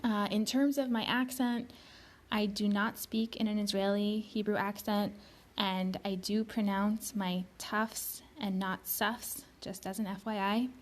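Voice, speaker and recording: A woman hosting her own podcast, explaining things in a gentle, earnest, unhurried way. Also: medium pitch, fairly even intonation. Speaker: podcast host. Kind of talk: solo podcast introduction.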